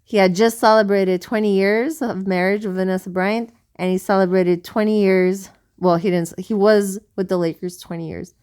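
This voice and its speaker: A woman's voice: mid-range voice, fairly even intonation, normal speed, few pauses, a little mellow, smooth. A woman co-hosting a podcast in casual conversation, talking in an earnest, rambling, musing way. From South America. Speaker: podcast co-host.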